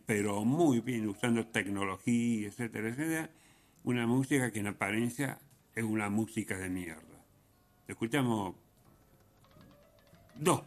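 An Argentine man hosting radio with an informal, opinionated monologue, speaking in a vehement, slangy, irreverent way with halting repetitions and tags. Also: mid-range voice, a little mellow. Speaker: radio host.

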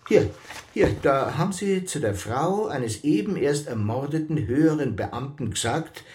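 A man acting an exasperated Bavarian police chief in a radio crime play. His mid-pitched voice swings up and down as he scolds and reproaches in an officious way. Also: lively intonation, measured pace, darkish tone, close to an everyday voice. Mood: indignant, reproachful.

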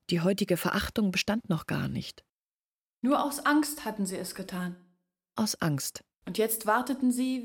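An actress playing a sorrowful young village woman in a radio play, speaking in a hushed, deliberate, brooding way. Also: fairly low pitch, breathy edge, no echo, clean studio sound. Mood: bitter, subdued. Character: sorrowful young village woman recounting her family's disgrace. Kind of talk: radio play monologue, intimate recounting.

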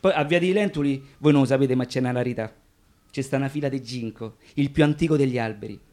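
An actor with a Roman accent playing a wry, proud working-class storyteller in a colloquial, emphatic radio theatre monologue. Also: male voice, mid-range voice, fast talker, mellow, natural voice. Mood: wry, confiding.

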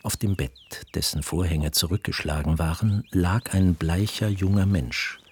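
Deep-voiced male radio drama narrator. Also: darkish tone, breathy edge. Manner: measured, precise.

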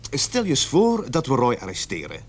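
A man's voice: medium pitch, wildly swooping pitch, moderate pace. A middle-aged man acting in a radio play as a seasoned, level-headed police sergeant who reasons with patient authority. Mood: reasoning, patient.

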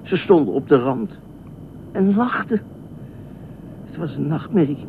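Anxious, rambling, high-voiced eccentric lifeguard. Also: male voice, lively intonation, unhurried, vocal fry. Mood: troubled, dreamy. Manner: rambling, hesitant, repeats himself, insistent. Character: eccentric talkative male lifeguard, anxious, pleading and obsessive. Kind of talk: radio play acting. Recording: tinny band-limited radio play, faint noise.

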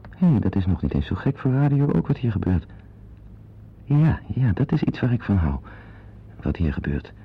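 A man's voice: husky, full character voice. An actor playing a needy, flustered man in a radio play, with a hesitant, confiding manner. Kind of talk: radio drama acting with asides to the listener. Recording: dull old radio recording with rumbling noise.

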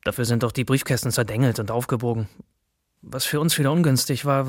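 Radio drama casting: actor as colloquial, sardonic male courier narrator, mid-pitched, very dry studio sound. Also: no echo, natural voice. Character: wry, streetwise male bike courier telling his story. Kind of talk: dramatic first-person narration.

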